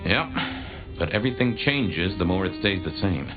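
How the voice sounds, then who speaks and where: A man's voice: low-pitched, animated, normal speed. An actor voicing a chatty, wry, century-old male vampire in a video game, with a folksy, theatrical delivery. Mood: wry amusement. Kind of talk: video game dialogue acting. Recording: muffled game audio under music.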